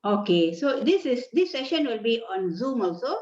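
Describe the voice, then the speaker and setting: A woman's voice: fairly high pitch, very animated, normal speed, darkish tone. A woman from Malaysia asks simple questions as a participant joining an online call. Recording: dull lo-fi call audio.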